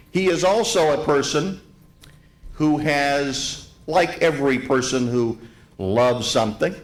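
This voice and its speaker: A man delivering a ceremonial tribute to a live audience, speaking in deliberate, emphatic phrases with repetition. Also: medium pitch. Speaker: event speaker, museum president giving opening remarks. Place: hotel grand ballroom event.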